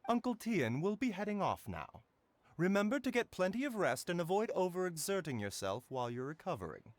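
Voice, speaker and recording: An actor voicing a gentle male doctor with a soft, measured bedside manner in a video game. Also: sits high, big pitch swings, no echo. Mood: reassuring.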